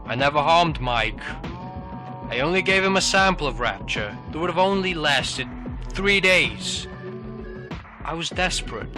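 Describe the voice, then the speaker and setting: A man voicing a scheming older corporate executive with a formal, persuasive manner in an animated superhero drama. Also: a little high, a little slow, pauses often, music in the background. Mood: defensive.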